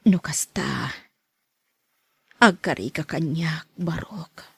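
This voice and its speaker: Radio drama role: ailing Ilocano mother played by a woman, frail and pleading, low rasping near-whisper with heavy creak. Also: slow, a bit bright, no echo, full character voice. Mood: weak, pleading. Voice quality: weak, breathy, rasping whisper.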